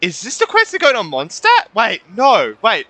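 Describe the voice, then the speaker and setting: A woman streaming a game, reacting casually and excitably, with some swearing. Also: a little high, normal speed, no room sound. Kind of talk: casual live gameplay reaction.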